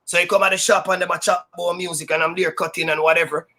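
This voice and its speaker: A Jamaican man tells his stories loudly and with a lot of energy, slipping into patois and bragging freely. His voice is high for a man and has a sharp, bright edge. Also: level tone, quick pace. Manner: loud, high-energy, boastful, patois-laced storytelling. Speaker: sound system DJ, interview guest. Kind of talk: casual storytelling interview.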